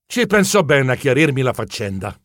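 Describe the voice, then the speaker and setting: A man playing an excitable, bumbling, polite mouse journalist who tells his own adventure as theatrical children's storybook narration. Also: medium pitch, normal speed, no room sound, studio quality. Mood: bewildered, embarrassed. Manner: theatrical, expressive, comic exclamations.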